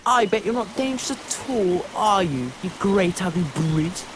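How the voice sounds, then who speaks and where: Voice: medium pitch, expressive, normal speed, background noise, lightly acted. An actor voicing a sneering, contemptuous rich boy bully in a video game cutscene. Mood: mocking.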